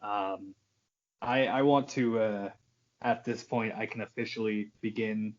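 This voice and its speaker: A man's voice: on the low side, steady tone, unhurried, highs cut off. Sweary man ranting on a podcast. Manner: sweary, mock-aggrieved ranting. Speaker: podcast co-host. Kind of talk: casual fan podcast chat.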